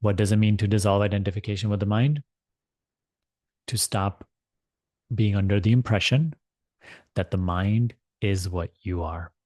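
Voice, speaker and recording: Low-voiced man guiding a meditation. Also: steady tone, darkish tone, dry recording, clean studio sound. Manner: deliberate, measured, with long pauses and repeated phrases. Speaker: meditation teacher leading a guided meditation. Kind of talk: guided meditation instruction, spiritual talk.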